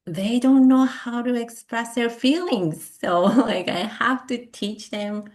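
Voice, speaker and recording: A woman with a Japanese accent, a therapist whose first language is Japanese, talking hesitantly with many fillers and soft laughs in a casual interview. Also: fairly high pitch, slow. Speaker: therapist guest.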